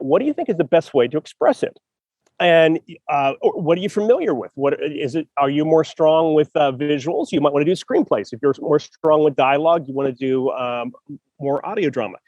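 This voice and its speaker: A man's voice: on the high side, animated, brisk, a little mellow, dry recording. A man hosting a casual chat about writing, talking with enthusiasm and thinking aloud with many restarts. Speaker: podcast host.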